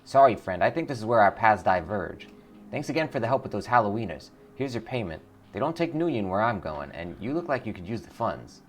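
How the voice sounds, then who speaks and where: A man's voice: fairly low pitch, very animated, fairly quick. A man voicing a streetwise, cocky but friendly fugitive in a video game. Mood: friendly, brisk. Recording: clear background noise.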